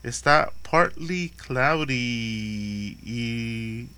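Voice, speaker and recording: A man's voice: medium pitch, very slow, bright, forceful tone, clean tone. A man on a morning radio show chatting casually and giving the weather, dropping in English words. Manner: light, laughs easily, mixes in English words. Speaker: weather reporter.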